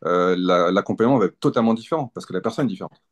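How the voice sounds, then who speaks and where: A man's voice: low voice, rapid-fire. A man in his fifties, a physiotherapist explaining his work as an interview guest in casual talk, thinking aloud and often rephrasing as he goes. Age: 50s.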